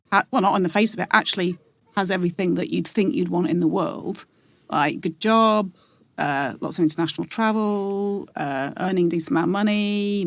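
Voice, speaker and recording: A woman in her forties, a podcast guest, talking in a reflective, halting way with many pauses and restarts. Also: medium pitch, no room sound. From England. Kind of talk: casual interview.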